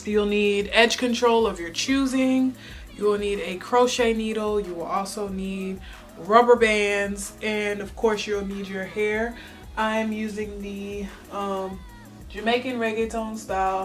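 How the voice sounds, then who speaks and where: Laid-back chatty young woman vlogger. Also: medium pitch. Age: young adult. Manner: chatty, rambling, laid-back, lots of fillers. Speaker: beauty vlogger. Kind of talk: casual hair tutorial chat.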